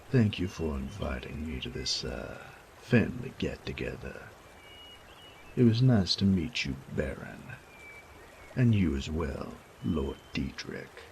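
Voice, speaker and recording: A man playing a sadistic, teasing bandit in an audio roleplay, with a gravelly voice and a taunting, coarse drawl. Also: darkish tone, slight background noise, full character voice. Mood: wry, mock-polite. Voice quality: gravelly, creaky low voice. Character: sadistic bandit rogue, menacing, teasing, mocking, flirtatious. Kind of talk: roleplay audio drama acting.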